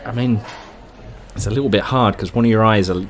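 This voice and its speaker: A man playing a hesitant, bemused dinner date who speaks politely and haltingly in an improvised comedy radio play. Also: fairly low pitch, lightly acted. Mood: bemused, wary.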